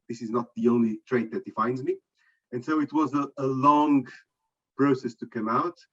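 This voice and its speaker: Middle-aged Portuguese-born man, muffled sound. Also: medium pitch. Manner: measured, reflective, listing points one by one. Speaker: panel guest. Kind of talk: conference panel answer.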